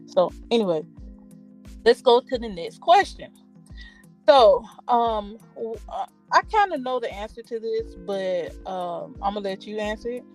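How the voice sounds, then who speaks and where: A woman's voice: a little high, animated, a little slow, a little mellow, soft background music. A woman hosting a casual online interview over a call, talking in a conversational way with frequent fillers. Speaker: interview host.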